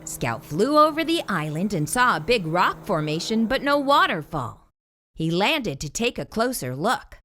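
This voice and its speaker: Bright, animated woman reading a children's story. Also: mid-range voice, measured pace. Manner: animated, theatrical emphasis on exclamations. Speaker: read-aloud storyteller. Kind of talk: expressive children's picture book read-aloud.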